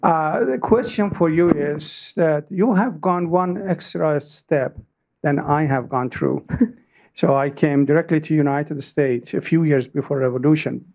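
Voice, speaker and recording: An older man in the audience of a meeting, asking a question in a halting way, searching for words. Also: a little high, darkish tone. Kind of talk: question from the floor. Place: meeting room.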